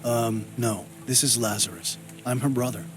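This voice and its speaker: A male actor plays a troubled, guarded man leading a dark video game story. His mid-range voice is terse and brooding, as if keeping his thoughts to himself. Loud music runs beneath him. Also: medium pitch, steady tone, moderate pace, lightly acted. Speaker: main character of a video game. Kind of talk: scripted game voice acting, brooding monologue and dialogue.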